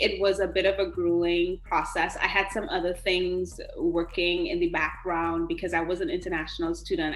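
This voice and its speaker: A woman giving conversational interview answers as a podcast guest in a roomy, echoing space. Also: lowish voice. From Trinidad.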